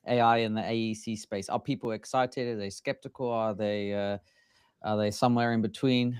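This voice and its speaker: A man hosting a live panel, casually putting halting, informal questions to his guests. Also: on the low side, fairly even intonation, darkish tone, clear, resonant tone, dry recording, clean studio sound. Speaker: host, moderator.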